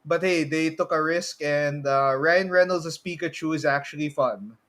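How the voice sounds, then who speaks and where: A man's voice: a little high, normal speed, a bit bright. A man with a Filipino accent hosting a casual podcast, mixing Tagalog particles into his English. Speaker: podcast host.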